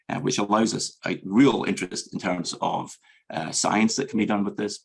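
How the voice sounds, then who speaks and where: A man with a Scottish accent giving an academic medical lecture as a professor, in a measured, earnest and hesitant way. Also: deep voice, gravelly, breathy edge. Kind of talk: academic grand rounds presentation.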